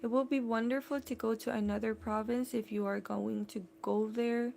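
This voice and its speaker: Woman, 30s, slightly high voice, casual live-stream chat, greets viewers by name. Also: steady tone, moderate pace, creaky, a little mellow, a little hiss or hum. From Philippines. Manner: chatty, greets and answers viewer comments, breaks off to attend to her child. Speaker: live-stream host, mother.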